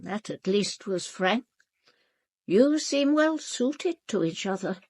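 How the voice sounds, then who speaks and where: A woman playing an imperious, sharp-witted elderly aristocratic lady in a British radio drama, with an upper-class English accent and a formal, cutting, controlled delivery. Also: medium pitch, expressive, slow, no echo. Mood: cutting, dry. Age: older.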